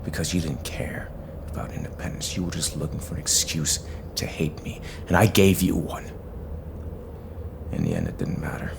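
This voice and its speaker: A man playing a grim, stubborn, ailing older masked vigilante, terse and gravelly, in an audio drama. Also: big pitch swings, normal speed, noisy background, full character voice. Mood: bitter. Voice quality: deep gravelly growl. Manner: terse, brooding, defiant.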